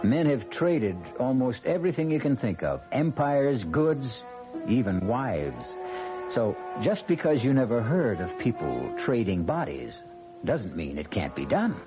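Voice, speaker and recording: A man hosting and narrating a radio mystery, deliberate, wry and theatrical. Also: mid-range voice, big pitch swings. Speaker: host and narrator. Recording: muffled vintage radio recording. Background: music.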